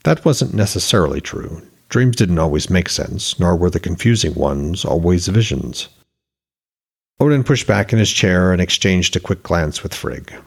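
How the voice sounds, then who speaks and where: A man's voice: low-pitched, lively intonation, normal speed, creaky, breathy edge. A man narrating a scripted fantasy story as its author and podcast reader.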